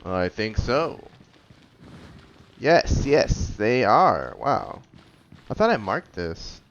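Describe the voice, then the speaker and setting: A woman's voice: a bass voice, big pitch swings, drawn-out words, lo-fi sound, a little hiss or hum. A woman giving casual, laid-back let's play commentary with dry reactions. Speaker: gaming commentator.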